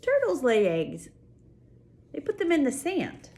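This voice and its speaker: An older woman reads a picture book to young children in a fairly high voice. She takes her time, with a very lively, swinging pitch. Her delivery is expressive and full of wonder, with simple explanations and little asides to the listener. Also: a little slow. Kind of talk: read-aloud story time with spontaneous asides to a child.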